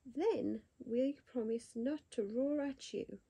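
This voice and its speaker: A woman's voice: fairly high pitch, takes it slowly, warm tone, husky, heavily acted. Clever little monkey, as a woman reads him. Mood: pleading. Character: clever little male monkey making a deal.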